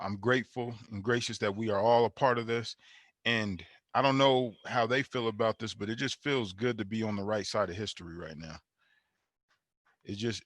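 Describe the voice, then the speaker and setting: A man's voice: on the low side, a bit bright, dry recording, clean studio sound. A man giving earnest, unscripted remarks on a panel, repeating phrases for emphasis. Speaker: panel participant.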